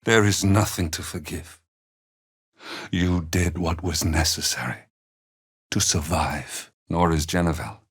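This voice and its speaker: Weary gentle old dad in a fantasy game, deep voice, really slow, halting, solemn and tender, very devout. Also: male voice, husky, full character voice. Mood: weary, reflective. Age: older. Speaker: video game voice actor. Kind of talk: dramatic video game character dialogue.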